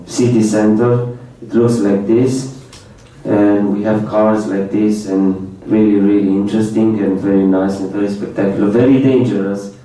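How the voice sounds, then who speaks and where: A man's voice: on the low side, mellow. Young Romanian man presenting in a hall. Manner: plain speech in non-native English with many repetitions, light laughs. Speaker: foreign student presenter. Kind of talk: informal slide presentation. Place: university lecture room. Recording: echoey lecture room, mic at a distance.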